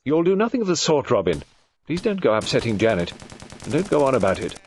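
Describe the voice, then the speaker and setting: A man's voice: mid-range voice, big pitch swings, fast talker, a little hiss or hum, voice pushed up, natural voice. A man voicing a cold, imperious old woman, clipped and stern, in an audiobook.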